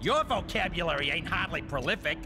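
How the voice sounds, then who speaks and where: A man's voice: a little high, expressive, a little fast, long reverb tail, over music. A man playing a brash, mouthy, scrappy hero in a video game, slangy and snarky.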